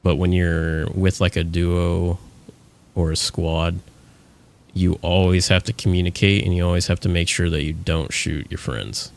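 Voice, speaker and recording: Male streamer, deep low voice with gravel, casual rambling gaming chat. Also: little pitch movement, a little slow. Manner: rambling, lots of fillers and restarts.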